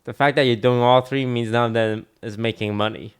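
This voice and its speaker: A man's voice: mid-range voice, steady tone, a little fast, clear, resonant tone. A man streaming, reacting to a video with dry, blunt, teasing remarks. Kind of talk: casual live-stream commentary.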